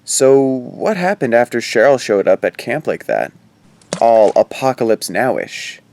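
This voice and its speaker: A man's voice: medium pitch, very animated, unhurried, a little mellow. An actor playing a wry, skeptical teenage boy who talks in casual, sardonic slang in an audio drama. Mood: casual, curious. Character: wry, pop-culture-quoting skeptical teenage boy sidekick. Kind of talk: conversational audio drama acting.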